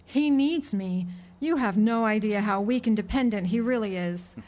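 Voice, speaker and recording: A woman's voice: medium pitch, moderate pace, darkish tone, natural voice. An actress playing a bitter, guarded small-town woman in a radio drama, blunt and resigned. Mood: bitter, defiant. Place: live amphitheater stage performance. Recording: live stage recording with music.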